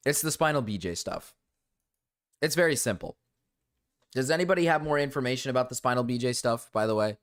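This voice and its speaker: A young man streaming and ranting to his chat, rambling with restarts and fillers, his voice going low and cracking. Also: animated, brisk, pauses often. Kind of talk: casual livestream rant to chat.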